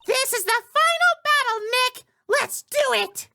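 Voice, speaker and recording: A man voicing a perky, eager young girl sidekick in a squeaky falsetto for a video game. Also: expressive, a little slow, vocal fry, very noisy, heavily acted. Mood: eager, encouraging.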